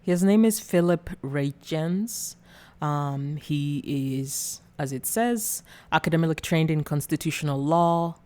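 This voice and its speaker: A woman's voice: sits low, a little slow, a little mellow. A woman from Rwanda hosting her own channel, talking through political commentary in a hesitant way with many fillers and small giggles. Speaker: channel host. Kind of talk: casual political commentary video.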